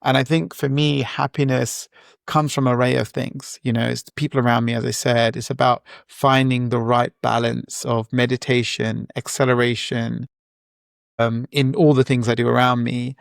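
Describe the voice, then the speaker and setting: Gravelly-voiced man musing in an interview. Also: mid-range voice, no room sound, studio quality. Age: 40s. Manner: reflective, rambling with many fillers and restarts. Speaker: guest entrepreneur. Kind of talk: casual interview talk.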